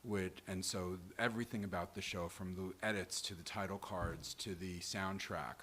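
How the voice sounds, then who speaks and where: A man co-hosting a live table read, talking off the cuff in a wry, playful and deliberate way. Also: low voice, fairly even intonation, fairly bright tone, slight background noise. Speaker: co-host and performer at a table read. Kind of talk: casual off-the-cuff stage talk. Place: live event venue before a small audience.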